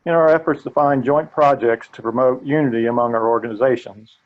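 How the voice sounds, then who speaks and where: An older man with a gravelly voice, earnestly presenting a live training webinar. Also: mid-range voice, brisk, muffled recording. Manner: earnest, steady, occasional restarts. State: gravelly voice today.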